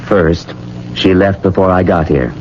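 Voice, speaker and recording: An actor playing a calm, frank middle-aged family doctor in a 1970s radio drama, measured and matter-of-fact. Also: male voice, deep voice. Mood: firm, frank. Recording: muffled, faintly noisy vintage radio broadcast.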